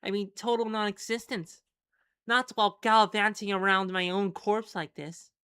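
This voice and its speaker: A woman's voice: mid-range voice, steady tone, moderate pace, bright, forceful tone, smooth, no echo, close to an everyday voice. A woman playing a weary, blunt adventurer who is troubled by killing, in a role-playing game. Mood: exasperated.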